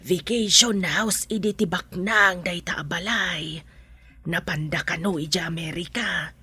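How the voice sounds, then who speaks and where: A woman's voice: lowish voice, a little slow, bright, forceful tone, husky, exaggerated voice. A woman with an Ilocano accent playing a protective, worried mother of a grown child in a radio drama.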